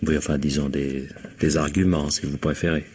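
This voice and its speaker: Deep-voiced, suave husband. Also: male voice, little pitch movement, a little mellow, husky. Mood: confiding, resolute. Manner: formal, measured, suave. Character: courteous, quietly scheming bourgeois husband and employer. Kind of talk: 1950s French radio drama acting.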